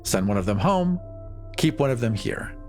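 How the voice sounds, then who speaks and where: A man's voice: medium pitch, sing-song, moderate pace, darkish tone, faint music behind. A man playing a tabletop role-playing game, casually improvising narration of what his character does.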